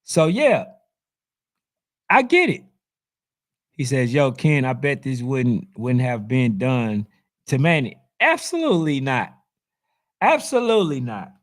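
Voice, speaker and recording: Hoarse man riffing to his viewers. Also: mid-range voice, slow, pauses often. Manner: animated, preachy, talks straight to his viewers. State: losing his voice. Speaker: youth football channel host. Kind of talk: casual commentary reading comments.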